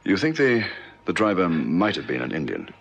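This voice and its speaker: An actor playing a calm, methodical detective sergeant in a radio drama, asking measured, probing questions in a gravelly voice. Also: male voice, deep voice, dull audio, heavily acted. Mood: methodical questioning. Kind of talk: 1970s radio drama acting.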